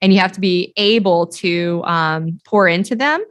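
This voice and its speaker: A woman hosting a podcast interview, explaining things in a steady, smooth way. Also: on the low side, moderate pace, no room sound. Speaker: podcast host. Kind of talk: conversational interview.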